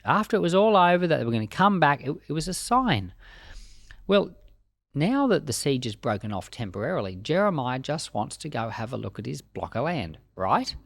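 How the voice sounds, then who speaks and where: A man delivers Bible commentary in a conversational, colloquial style. His mid-pitched voice moves up and down in a lively way, and he slips into dramatized asides. The sound is fairly dry and close. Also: vocal fry, dry recording. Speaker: Bible teacher.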